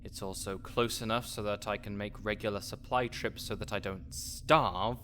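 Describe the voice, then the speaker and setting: A man's voice: on the low side, steady tone, normal speed, bright, forceful tone, slight background noise. A man playing a grouchy, fussy necromancer researcher in an audio roleplay, sarcastic and wordy as he speaks to the listener. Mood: dry, explanatory.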